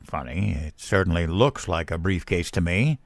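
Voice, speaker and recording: An actor playing a cheerful, dim-witted American president in a comedic audio drama, naive, hesitant and easily puzzled. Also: male voice, low voice, lively intonation, normal speed, gravelly, dry recording. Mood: puzzled, amused.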